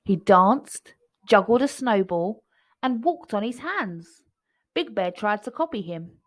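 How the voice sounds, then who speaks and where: A woman storyteller reading a children's story aloud in an expressive way. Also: medium pitch, slow.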